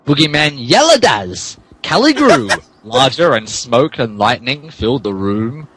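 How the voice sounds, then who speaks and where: A man's voice: mid-range voice, sing-song, a little slow, background noise. A man narrating a comic dramatic reading of fan fiction in a hammy way, stumbling over words. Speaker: narrator, podcast reader.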